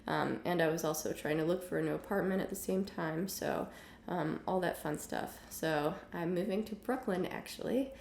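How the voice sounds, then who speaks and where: Hoarse young woman, gravelly and roomy. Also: fairly low pitch, normal speed, few pauses, slight background noise. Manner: relaxed chatty with many ums, coughs now and then. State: hoarse from illness. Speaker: music teacher. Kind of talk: casual online lesson. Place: home room with piano and guitars.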